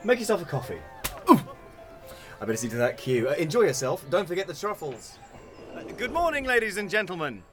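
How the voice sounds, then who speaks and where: An actor playing a smooth, affable funeral-home owner in an audio comedy, with a breezy, polished sales manner. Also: male voice, high voice, very animated, fairly quick, long pauses, fairly bright tone, faint music behind. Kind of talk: audio sitcom acting.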